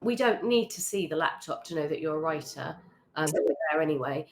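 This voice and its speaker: Female host, lower-pitched voice, upbeat and chatty. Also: lively intonation, brisk. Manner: upbeat, chatty, with frequent laughs. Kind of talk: casual interview, giving brand feedback.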